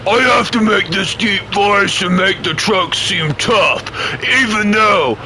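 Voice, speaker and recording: A man putting on a slow, drawn-out commercial announcer voice to mock truck brands. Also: sits high, background noise, pitched above the performer's own voice. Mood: mock hype.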